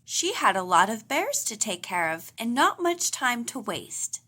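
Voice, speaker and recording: A woman narrates a picture book for children. Her voice is fairly high and bright, and she reads expressively at a slow, measured pace. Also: very animated, slightly rough. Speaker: storybook reader.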